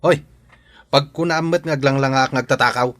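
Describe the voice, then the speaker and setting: A man's voice: mid-range voice, steady tone, brisk, a bit bright, no echo, close to an everyday voice. A man acting a bullying, suspicious villager in a radio drama, taunting and confrontational. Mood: accusing. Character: bullying, suspicious male villager, quick to accuse.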